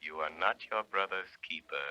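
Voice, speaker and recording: An actor playing a stern, mocking, mysterious avenger in a vintage radio drama, speaking in a slow, imperious, deliberate way. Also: male voice, deep voice, pushed and bright, no echo, highs cut off. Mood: accusing, mocking. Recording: faintly noisy old radio recording.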